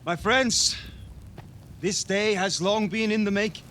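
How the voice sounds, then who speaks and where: An actor playing a vengeful young Viking war leader in a video game, grave and oratorical in delivery. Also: male voice, sits high, fairly even intonation, bright, forceful tone, slight background noise. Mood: stirring, resolute. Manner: slow, solemn, oratorical delivery. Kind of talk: dramatic cinematic game acting.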